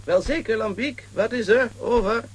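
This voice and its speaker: An actor playing a slow, odd comic character in a children's audio drama, with a thin, husky voice and clipped phrasing. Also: male voice, very high voice. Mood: polite.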